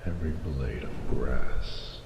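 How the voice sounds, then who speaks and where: A man voicing an ancient, noble male dragon in a romantic audio roleplay, speaking formally and solemnly with tenderness in a gravelly, breathy voice. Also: very deep voice, exaggerated voice. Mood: solemn, reverent. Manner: slow, stately, formal phrasing. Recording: reverberant, echoing, noisy ambient bed.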